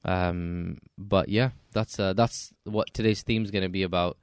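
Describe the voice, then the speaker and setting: A man hosting a podcast interview, talking in a polite, measured way with light joking. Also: low voice, steady tone, clear, resonant tone, no echo. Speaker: podcast host. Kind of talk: casual interview chat.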